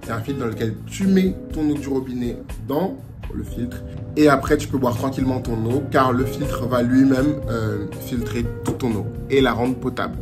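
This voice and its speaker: Young french guy vlogging, mid pitch, chatty and animated, clear music bed behind him. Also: normal speed. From France. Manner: chatty and animated, slips into Portuguese phrases. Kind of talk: casual advice vlog. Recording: music playing under the voice.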